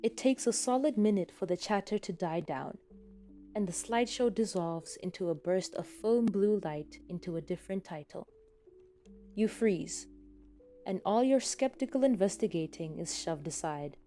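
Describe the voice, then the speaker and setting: A woman reading scripted fan fiction as a dramatic narrator, with expressive, deliberate phrasing. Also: mid-range voice, soft background music.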